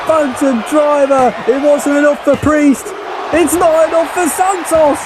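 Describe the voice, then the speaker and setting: A man calling a wrestling match play by play, excitable and often shouting over the action. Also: very high voice, measured pace. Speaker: play-by-play commentator. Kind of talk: wrestling commentary. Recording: loud crowd and noise behind.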